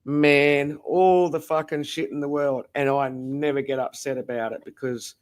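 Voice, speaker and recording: A man's voice: a little high, level tone, normal speed, clear, resonant tone. A man chatting casually and reassuringly on a late-night stream, swearing now and then.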